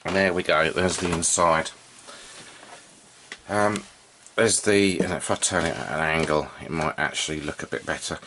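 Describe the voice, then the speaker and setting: A man giving an unscripted, informal hands-on demonstration, thinking aloud in a halting way as he works as a hobbyist repairer. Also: low-pitched, steady tone, fairly bright tone, a little hiss or hum. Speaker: vintage radio repair hobbyist and video presenter.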